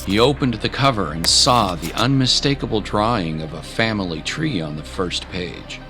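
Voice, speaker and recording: A man reading scripted dramatic narration of a fantasy adventure in a measured, deliberate way with pauses for effect. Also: lowish voice, expressive, music in the background. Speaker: storyteller, narrator.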